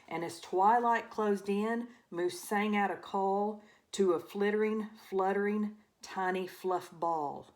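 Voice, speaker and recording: A woman reading a rhyming children's storybook aloud as an expressive narrator. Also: medium pitch, slow pace, few pauses. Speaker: storybook reader.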